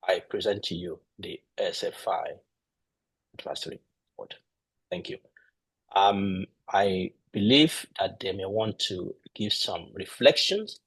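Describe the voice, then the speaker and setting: A man presenting a board at an online ceremony, speaking haltingly with frequent fillers. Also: deep voice, husky, no echo, low-quality recording. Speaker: master of ceremonies. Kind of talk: virtual ceremony hosting.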